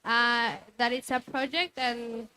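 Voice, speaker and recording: Woman interpreting in halting phrases. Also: fairly high pitch, little pitch movement, pushed and bright, slight background noise. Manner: halting, many fillers. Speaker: interpreter for fellow activist. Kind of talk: consecutive interpretation.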